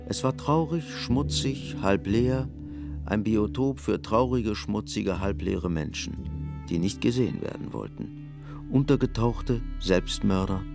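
A man narrating a literary audio drama in a dry, measured storytelling manner. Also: mid-range voice, vocal fry. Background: music.

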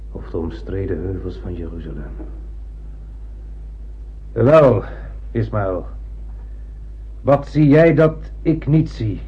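A grandiose, eccentric male space captain, speaking very slowly in a lowish voice with theatrical authority. The recording is dull and muffled. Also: a little hiss or hum. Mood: musing. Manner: theatrical, deliberate, quizzing his crew. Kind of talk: radio drama acting. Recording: muffled old radio play.

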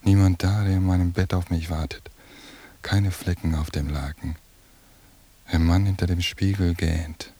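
A tired, bitter night watcher speaks his lonely thoughts in a radio play. The voice is low, dark and very husky, with gravel in it. He stays flat and detached, in short deadpan phrases. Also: unhurried. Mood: weary, desolate. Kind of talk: radio drama interior monologue.

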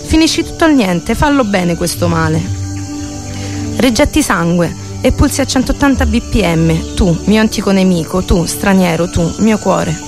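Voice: mid-range voice, vocal fry. A performer reciting a poetic monologue in a theatrical, literary manner. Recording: music behind the voice. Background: music.

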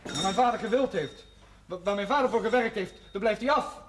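A man's voice: sits high, brisk, close to an everyday voice. An actor playing a defiant, resentful young working man in a television drama, blunt and combative. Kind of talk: 1970s television drama acting.

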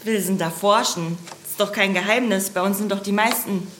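A woman's voice: medium pitch, fairly quick, background noise, close to an everyday voice. An actress playing an impetuous, outraged young female police trainee in a German radio drama, urgent and confrontational. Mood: insistent. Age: young adult. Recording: echoey space, music behind.

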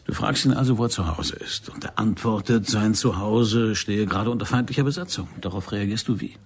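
An intense male secret agent for radio drama. His voice is very husky, with a gravelly creak. He is controlling and insistent, steering and probing with theatrical force. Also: fairly low pitch, brisk.

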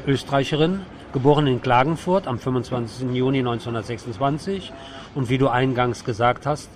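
A man's voice: medium pitch, a little fast, slight background noise. A man hosting a conversational radio interview. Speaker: radio host.

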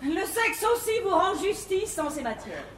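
An actress playing an imperious, learning-obsessed bourgeois matriarch in a classical French verse stage comedy, grand and declamatory. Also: female voice, very high-pitched, very animated, moderate pace. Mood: lofty pride. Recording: echoey 1950s theatre recording, faint noise.

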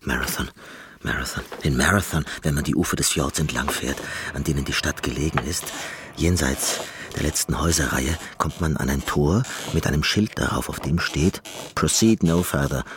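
A man's voice: rumbling low, normal speed, noisy background. An actor playing a reclusive, neurotic Canadian pianist in a radio drama, brooding and repeating his phrases compulsively. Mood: brooding, absorbed.

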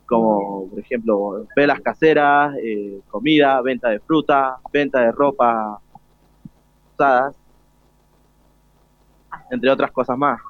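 A teenage Argentine man answers interview questions hesitantly, with an average pitch for a male voice. The recording is dry and lo-fi, like a radio link. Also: slow pace, long pauses, dry recording. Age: teenage student. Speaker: student being interviewed. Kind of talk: informal explanation in interview. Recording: dull lo-fi radio broadcast link.

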